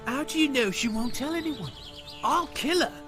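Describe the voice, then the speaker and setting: A volatile, spiteful bandit thug, animated. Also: male voice, very high voice, moderate pace. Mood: enraged. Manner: aggressive, spitting insults. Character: furious, spiteful, murderous bandit thug, contemptuous. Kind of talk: video game voice acting. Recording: music behind.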